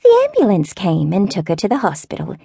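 A woman voicing a fussy elderly neighbor with a Southern accent, who talks in a slow, meandering, wavering falsetto. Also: huge pitch swings, creaky, warm tone, breathy edge, dry recording, studio quality, heavily acted. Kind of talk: audiobook character voice.

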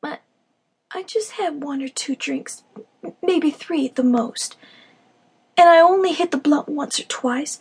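A woman's voice: high-pitched, expressive, fairly quick, hardly any pauses, voice pushed up. A woman voicing a battered young woman who is crying and pleading frantically. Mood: mumbling.

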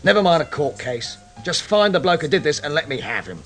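An actor playing a shady, self-important nightclub boss in a radio crime drama, curt and menacing. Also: male voice, a little high, sing-song, fairly quick, fairly bright tone, faint music behind. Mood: surly. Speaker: nightclub owner character.

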